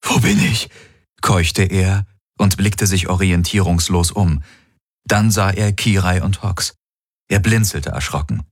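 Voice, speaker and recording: A man's voice: deep voice, fairly even intonation, a little slow, dry recording, clean studio sound. A man voicing a young man who has lost his memory, gasping and pleading in panic. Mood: panicked.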